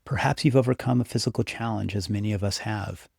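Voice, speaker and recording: A man narrating a scripted guided meditation as the guide, speaking in an unhurried, encouraging, instructive way. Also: lowish voice, gravelly, darkish tone, husky, no echo, studio quality. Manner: unhurried, instructive, encouraging second-person address.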